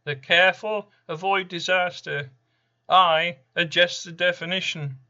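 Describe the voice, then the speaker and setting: Guy doing a smug horseman of the apocalypse, slow n deadpan, very bright edgy voice, spoken poem. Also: high-pitched, highs cut off, voice pushed up, exaggerated voice. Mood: sly, deadpan. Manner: deadpan, taunting, aphoristic. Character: RanDumb, smug casual fifth Horseman of the Apocalypse. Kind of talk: dramatic poetry monologue.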